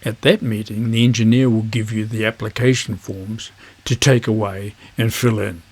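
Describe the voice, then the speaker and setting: A man with a husky voice, presenting an instructional vlog in measured phrases. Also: lowish voice, steady tone. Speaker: amateur radio video host.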